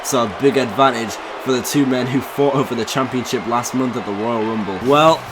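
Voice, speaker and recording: A man commentating his own wrestling video game, losing his voice, getting hyped and shouting at the big moves. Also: medium pitch, brisk, noisy background. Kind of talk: excited play-by-play commentary.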